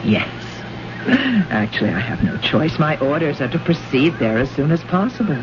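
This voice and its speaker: A woman's voice: deep voice, lively intonation, moderate pace, music in the background. An actress playing an earnest, puzzled woman diplomat of the far future in old-time radio drama style, questioning with emphasis. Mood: insistent. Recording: noisy, muffled old radio recording.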